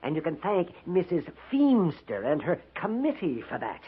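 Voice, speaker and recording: A man's voice: on the high side, huge pitch swings, moderate pace, breathy edge, exaggerated voice. A man playing a fussy, nervous army sutler in a 1950s western radio drama, with an American accent and a dithering, florid, melodramatic delivery. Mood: flustered, lamenting. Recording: muffled vintage 1950s radio recording.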